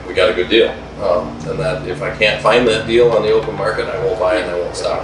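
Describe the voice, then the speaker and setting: A man's voice: sits low, recorded from a distance. A middle-aged man who owns a lumber business, speaking plainly with lots of "um" fillers in an interview inside a wood-paneled room. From Wisconsin, USA. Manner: plain-spoken, frequent "um" fillers. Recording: roomy sound from off-mic with music behind.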